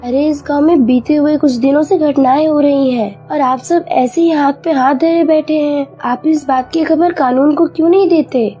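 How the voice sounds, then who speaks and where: A woman's voice: sits high, steady tone, moderate pace, few pauses, warm tone, dull audio, faint music behind, lightly acted. A woman voicing a brave, determined young village wife in a cartoon story, earnest and emphatic. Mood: shocked, indignant. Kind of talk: Hindi cartoon story acting.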